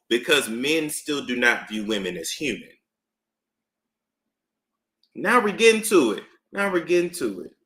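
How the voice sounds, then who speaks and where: A man giving casual live-stream commentary, emphatic and animated, repeating phrases for effect. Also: mid-range voice. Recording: roomy echo.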